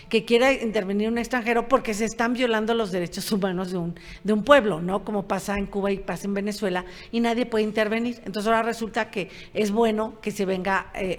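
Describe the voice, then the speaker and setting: Woman hosting, reverberant sound. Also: medium pitch. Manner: addresses viewers directly, explanatory. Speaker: show co-host. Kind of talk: political commentary chat. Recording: long reverb.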